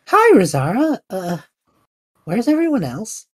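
A woman voicing a cheerful, excitable and earnest young male student in an audio drama. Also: a little high, a little slow, husky, full character voice. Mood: excited, festive.

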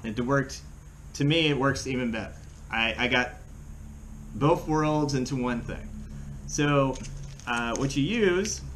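A middle-aged American man giving a casual how-to demonstration in a plain-spoken, halting way, recorded indoors by a camera across a table. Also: mid-range voice, fairly bright tone. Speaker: fishing channel host. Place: small room at home, indoors. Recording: clear background room noise.

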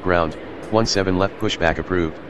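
A man's voice as a simulated pilot, reading back clipped radio calls in a flat, clipped way. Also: low voice, moderate pace, noisy background, natural voice.

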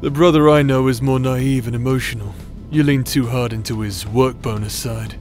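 A man's voice: mid-range voice, fairly even intonation, normal speed, close to an everyday voice. A man playing a stubborn, protective warrior in an audio roleplay drama, defiant and dramatic in his delivery. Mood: wry, knowing. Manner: impassioned, dramatic. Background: music.

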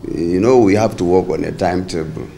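A man's voice: low-pitched, brisk, vocal fry, dark tone, slight background noise. A middle-aged man from Nigeria answering reporters' questions in an indoor corridor, firm and formal. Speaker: party caretaker chairman and governor. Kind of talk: press briefing. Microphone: many handheld reporter mics.

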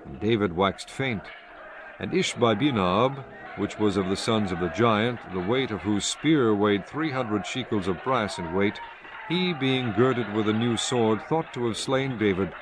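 A man narrating scripture from a script in a solemn, measured, formal manner. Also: on the low side, expressive, noisy background.

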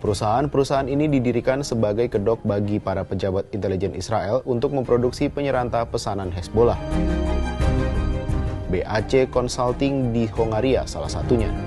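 A man narrating a scripted news explainer as a voice-over. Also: on the low side. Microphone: voice-over microphone. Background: background music.

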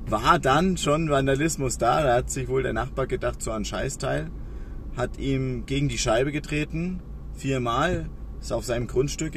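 A young man, chatting casually in a vlog from inside a car, rambling with lots of fillers. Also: medium pitch. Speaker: vlogger. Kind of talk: casual talking-head vlog. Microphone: phone camera at arm's length. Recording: camera mic a bit far off with loud background noise.

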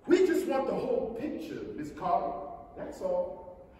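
Male stage reader, slow dramatic delivery with lively pitch, distant in a reverberant theater. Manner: deliberate, dramatic emphasis. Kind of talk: dramatic staged reading of a novel. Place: large indoor theater stage. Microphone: far camera. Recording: very echoey theater hall, distant mic, hiss.

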